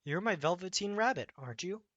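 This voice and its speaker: A man's voice: sits high, animated, fairly quick, a bit bright, dry recording, lo-fi sound, voice pushed up. A man voicing a young boy in a children's storybook, affectionate and sincere toward his toy.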